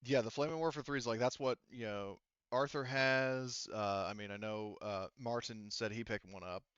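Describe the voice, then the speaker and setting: A man running a tabletop sci-fi game as game master, explaining haltingly and often circling back to rephrase. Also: medium pitch, a bit bright, smooth, no room sound, dull audio.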